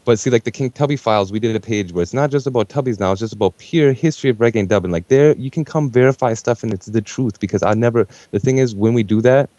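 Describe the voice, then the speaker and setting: Man with a dark, relaxed tone and rapid delivery, earnest in casual radio discussion, slightly lo-fi sound. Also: mid-range voice, no room sound. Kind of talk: casual talk show discussion.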